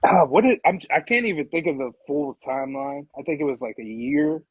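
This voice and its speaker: A man's voice: fairly high pitch, sing-song, fairly quick, vocal fry, husky, dry recording, phone-quality sound. A man talking in an emphatic, slangy way as a podcast guest, often checking that the listener follows. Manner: emphatic, slangy, keeps checking "you know what I'm saying". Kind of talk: casual chat.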